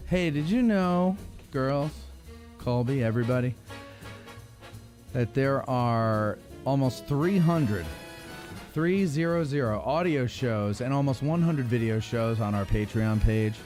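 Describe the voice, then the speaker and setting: A man's voice: medium pitch, slow pace, darkish tone, faint music behind. A man hosting a podcast, chatting casually and ad-libbing promotions in a jokey, mock-announcer way. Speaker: podcast host. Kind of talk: casual ad-libbed promotional chat.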